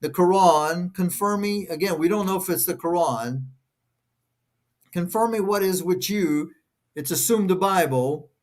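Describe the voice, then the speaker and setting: A man's voice: high-pitched, unhurried. A man whose first language is Portuguese, reading verses aloud and stopping often to question each phrase in a casual discussion. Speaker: co-host reading scripture.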